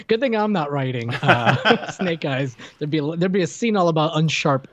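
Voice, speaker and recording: A man's voice: a little high, lively intonation, moderate pace, dry recording. A man co-hosting a casual movie podcast, joking around and trailing off mid-sentence. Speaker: podcast co-host. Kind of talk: casual chat about movies. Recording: dry, dull lo-fi mic.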